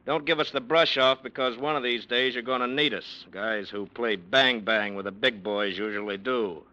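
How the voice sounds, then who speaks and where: A sarcastic police lieutenant played by a man needles his target in a bright, pointed voice. The old radio sound is dull. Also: mid-range voice, moderate pace, lightly acted. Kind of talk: 1940s radio drama acting.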